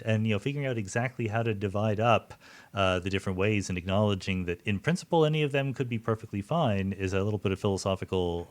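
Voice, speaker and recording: A man hosting an interview podcast, musing conversationally and often doubling back on his words as he thinks. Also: lowish voice. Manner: musing, halting with repeated words. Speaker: podcast host.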